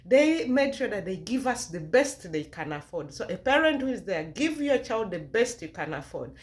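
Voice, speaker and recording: A woman with a Ugandan accent speaks directly to her viewers as a vlogger. She tells a personal testimony at an average pitch, leaning on key phrases and repeating them for emphasis. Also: very animated, normal speed.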